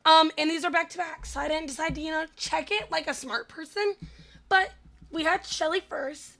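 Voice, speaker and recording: A woman with a hoarse, raspy voice that cracks in and out, giving casual, rambling, self-deprecating voice-over commentary on gameplay. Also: very high voice, moderate pace, fairly bright tone. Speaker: gaming video host.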